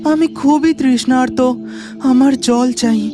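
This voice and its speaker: A woman's voice: high-pitched, measured pace. A woman voicing a parched, suffering talking tree that begs in a children's cartoon, with music behind. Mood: suffering, pleading. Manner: pleading.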